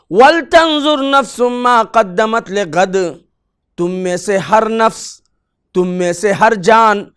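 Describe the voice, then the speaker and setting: A male preacher with a high, smooth, resonant voice delivers a sermon. He recites verses and then explains them with rhetorical questions, building to impassioned emphasis. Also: a bit bright.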